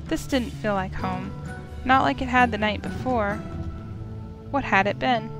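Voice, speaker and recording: A woman reading a visual novel aloud as story narration for a playthrough. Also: on the high side. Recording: music clearly behind the voice.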